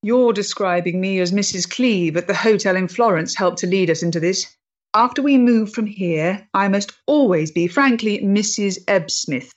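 Period drama: actress as principled, bitter freethinking woman, composed formal delivery, medium pitch, lo-fi sound. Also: close to an everyday voice. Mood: composed, wry. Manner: measured, formal, earnest. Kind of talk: period stage-play acting.

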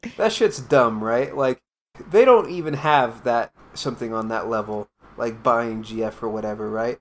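A man's voice: medium pitch, moderate pace, slight background noise. A young man ranting loosely in a casual group podcast, full of "like" and swearing. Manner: rambling, profane, lots of "like".

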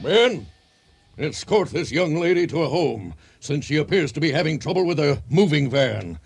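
An actor playing a blustering, officious army commander, barking orders in a comic radio drama. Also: male voice, on the high side, very animated, normal speed, creaky, breathy edge, exaggerated voice. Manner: barked military orders.

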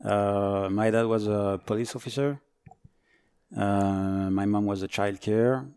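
A man in his forties from France with a French accent, a firefighter guest talking casually, halting and searching for words. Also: lowish voice, steady tone, darkish tone, clear, resonant tone, no room sound, clean studio sound. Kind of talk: casual interview chat.

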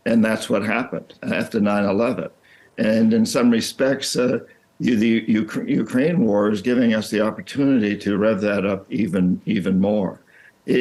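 An older American man speaking as an interview guest, reflective and halting, often repeating his words as he explains. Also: mid-range voice, a little mellow. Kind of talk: interview conversation.

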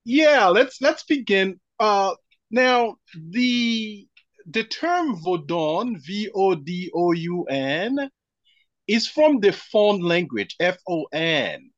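A man, a scholar answering interview questions in a measured way and spelling out terms letter by letter. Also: a little high, dry recording, dull audio. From Haiti. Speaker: guest scholar. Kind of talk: academic interview.

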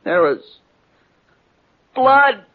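An actor playing an earnest, self-important and naive private eye with theatrical, melodramatic delivery in a 1940s radio drama. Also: male voice, extremely high pitch, sing-song, full character voice. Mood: flustered. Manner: pompous, theatrical, halting when flustered. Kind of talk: 1940s radio comedy-mystery acting. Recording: dull 1940s radio recording.

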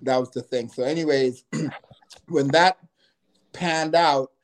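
A man's voice: fairly high pitch, slow pace. A middle-aged man from Trinidad, a guest on an interview show, telling his life story in an easygoing way and often filling with you know.

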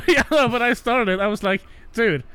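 A man's voice: very high-pitched, normal speed, pushed and bright, clean tone, no room sound. A young man co-hosting a podcast, chatting casually with crude jokes and frequent laughs. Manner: crude, joking, laughs often. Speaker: podcast co-host. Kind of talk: casual chat.

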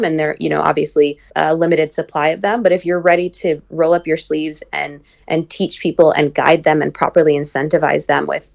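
A woman's voice: on the low side, creaky. A woman who grew up between the United States and Nigeria, founder of a venture capital firm, answering interview questions thoughtfully, with frequent fillers and restarts.

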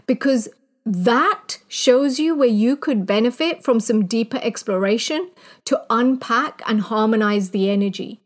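A woman from Kenya, a life coach giving an online mini training, who talks straight to the listener in an earnest, guiding, motivational way. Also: a little high.

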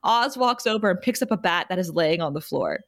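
A woman's voice: lowish voice, lively intonation, a little fast. A woman narrating stage directions for a scripted table read.

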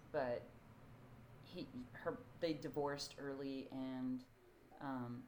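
A woman co-hosting a podcast in casual chat, her voice hoarse from yelling, talking in a chatty, animated way with plenty of fillers. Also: very deep voice, a little hiss or hum, low-quality recording. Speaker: podcast co-host.

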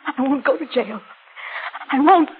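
An actress playing a frightened, distraught young bride in 1940s radio drama style, breathless and pleading. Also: female voice, high voice, unhurried, soft background music. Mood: pleading, desperate. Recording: muffled, noisy old radio broadcast.

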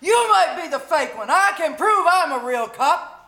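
Woman playing a very high, brazen scatterbrained housewife. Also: expressive, normal speed, pushed and bright, exaggerated voice. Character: scatterbrained, brazen housewife bluffing as police lieutenant. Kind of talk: old-time radio comedy acting.

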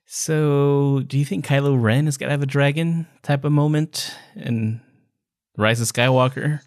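A man's voice: medium pitch, fairly even intonation, a little slow. Casual, joking man with a mid-range voice. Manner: informal, joking. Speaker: podcast co-host. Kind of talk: casual chat about books and films.

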